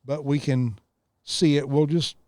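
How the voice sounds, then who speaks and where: An easygoing man in his sixties spins a story at an unhurried pace for his podcast. His voice is mellow and dark, heard dry and close, with no room around it. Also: a little high, fairly even intonation, no echo. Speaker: podcast co-host. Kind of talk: rambling casual chat. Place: outdoors on a lakeside tower.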